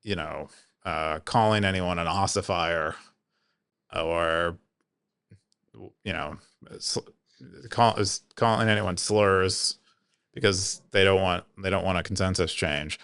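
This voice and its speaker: A man's voice: deep voice, steady tone, slow, pauses often, fairly bright tone, clean tone, no echo. A man co-hosting a casual podcast, explaining technical ideas plainly in a loose, chatty way with lots of fillers. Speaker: podcast co-host. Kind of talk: casual chat.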